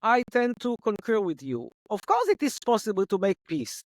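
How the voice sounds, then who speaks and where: Man, guest on a talk show, high voice, dry close sound, argues like a lecturer. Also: big pitch swings, normal speed, no room sound. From Israel. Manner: argumentative, lecturing, answers imagined objections. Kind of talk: analytical debate.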